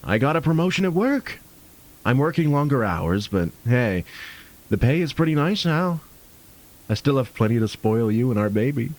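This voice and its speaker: Male actor for audio drama husband: affectionate, smoothly reassuring, slightly evasive, average male pitch. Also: big pitch swings, normal speed, close to an everyday voice, low-quality recording. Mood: upbeat, reassuring. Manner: affectionate, placating. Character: smooth, reassuring young husband with something to hide.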